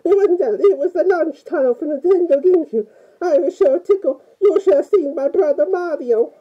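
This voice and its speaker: A woman's voice: very high voice, moderate pace, muffled recording. A woman playing a timid, easily scared Italian plumber man with a put-on Italian accent, rambling nervously through a game review with many "oh"s. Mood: nervous chatty. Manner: nervous, rambling, frequent "oh" and "mamma mia". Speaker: fan playing a video game character.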